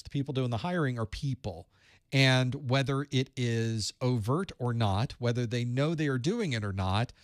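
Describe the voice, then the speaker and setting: A man's voice: medium pitch, no echo, studio quality. A man hosting a live study group as an IT instructor, talking earnestly and off the cuff, often restarting and repeating phrases.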